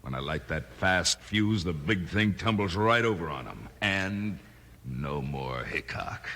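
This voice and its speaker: An actor playing a vengeful, scheming outlaw in a 1950s radio Western, with menacing frontier talk. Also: male voice, sits low, animated, measured pace, pushed and bright, exaggerated voice. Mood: gloating, scheming. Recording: noisy old radio recording.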